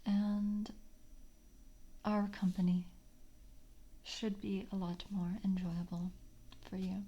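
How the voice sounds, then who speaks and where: A woman voicing a calm, jaded vampire screener in an ASMR roleplay, speaking softly in a breathy half-whisper with a measured, politely official manner. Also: mid-range voice, dull audio. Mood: calm, world-weary. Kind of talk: soft-spoken ASMR roleplay.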